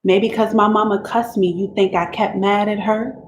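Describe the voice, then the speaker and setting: A worn-down teenage country girl pours out her troubles to God in plain, quiet dialect, as a woman reads her letters aloud. Her voice sits at an ordinary middle pitch, and the recording carries a roomy echo with a faint hiss behind it. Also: flat delivery, moderate pace, lightly acted. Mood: resigned. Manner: plain-spoken rural dialect, quiet and resigned. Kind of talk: dramatic reading of letters.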